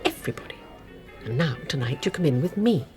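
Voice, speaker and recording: An actress playing a hurt, suspicious middle-aged wife in a radio drama, with a British accent and an insistent, sarcastic delivery. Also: female voice, deep voice, expressive, fast talker, darkish tone, faint music behind. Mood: exasperated.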